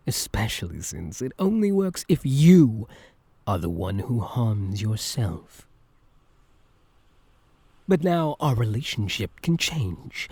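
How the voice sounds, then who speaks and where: A man playing an obsessive, menacing yandere supervillain in an intimate, theatrical ASMR roleplay, with a breathy, deliberate delivery. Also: mid-range voice, dark tone, no echo, heavily acted. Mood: possessive, adoring.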